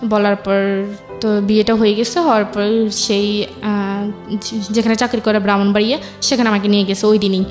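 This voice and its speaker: A young woman with a Bangladeshi accent recounts personal experiences conversationally, in a halting way with repeated phrases. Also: mid-range voice, little pitch movement, a little mellow. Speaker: interview guest telling her life story. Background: music.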